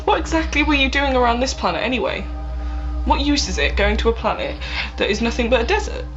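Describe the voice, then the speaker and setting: A woman's voice: fairly high pitch, expressive, fast, fairly bright tone, muffled recording, soft background music. A woman playing a frightened but feisty travelling companion in a fan audio drama. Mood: wary, questioning.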